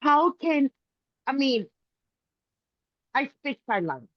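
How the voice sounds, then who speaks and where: A woman's voice: high-pitched, very animated, slow, lo-fi sound. A woman from Puerto Rico with a thick Puerto Rican Spanish accent, chatting animatedly as an interview host. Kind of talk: casual interview chat.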